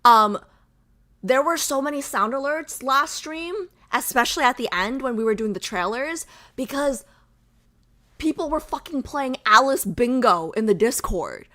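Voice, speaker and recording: A woman's voice: high voice, lively intonation, unhurried, pushed and bright. A young adult woman streamer chatting casually with her viewers, bubbly and full of fillers. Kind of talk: casual chat with live chat.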